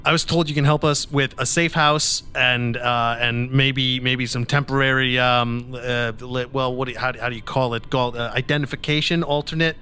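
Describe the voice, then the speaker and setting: A man's voice: mid-range voice, fairly even intonation, moderate pace, few pauses, bright, forceful tone, soft background music, natural voice. A man playing a flippant, flustered escaped convict in improvised tabletop roleplay, stammering and rambling as he goes. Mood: sheepish. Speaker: player in tabletop game.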